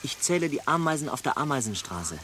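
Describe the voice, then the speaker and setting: A man's voice: medium pitch, very animated, brisk, background noise, natural voice. A man as a curious young man outdoors. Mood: content. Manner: eager, gently explaining. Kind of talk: radio drama acting. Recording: outdoor birdsong ambience.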